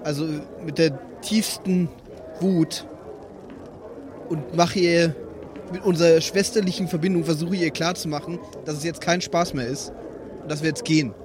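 A man's voice: fairly high pitch, steady tone, moderate pace, background noise. A man speaking casually as a player improvising in a tabletop role-playing game.

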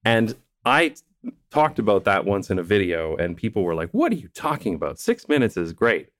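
A man's voice: lowish voice, sing-song, moderate pace, no echo. A man hosting a casual podcast conversation, explaining things at length in a thoughtful way. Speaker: podcast host.

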